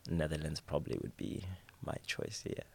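Deep-voiced young man, dry close sound. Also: moderate pace, gravelly, no echo. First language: English. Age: young adult. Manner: relaxed, with many fillers and restarts. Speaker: guest. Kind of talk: casual interview answers. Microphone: studio mic at a table.

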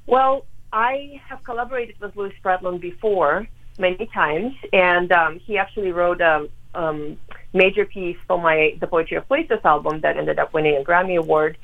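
A woman's voice: on the low side, normal speed. A woman from Ukraine, a pianist, talking about her work in a phone interview, earnest and full of ums and uhs. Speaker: pianist guest. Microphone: telephone. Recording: tinny phone line.

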